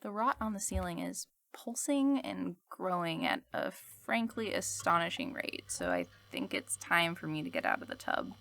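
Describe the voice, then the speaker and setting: A woman playing the musing host of an eerie fiction radio show, speaking in a measured, contemplative way. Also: on the low side, big pitch swings, creaky, a bit bright, no echo, exaggerated voice. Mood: detached, eerie. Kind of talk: scripted reflective fiction narration.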